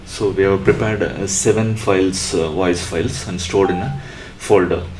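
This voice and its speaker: Low-pitched man giving a halting tutorial. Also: fairly even intonation, a little mellow, slight background noise. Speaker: electronics tutorial presenter. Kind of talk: step-by-step tutorial narration.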